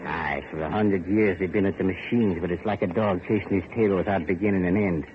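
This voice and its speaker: An actor playing a grim, bitter factory overseer on an island in a vintage radio horror drama, speaking in a dour, ominous, rustic way. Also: male voice, low-pitched, steady tone, fairly quick, close to an everyday voice. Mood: scornful, taunting. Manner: dour, ominous, archaic rustic speech with "aye" and "ain't". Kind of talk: 1930s-40s radio horror drama acting. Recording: muffled, noisy old radio recording.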